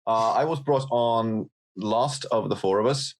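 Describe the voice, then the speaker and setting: A man with a non-American accent recounting things casually and haltingly as a podcast guest. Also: on the low side.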